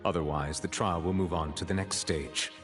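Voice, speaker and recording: An actor playing a stern male judge in a game, formal, measured and commanding. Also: low-pitched, fairly even intonation, a bit bright, soft background music.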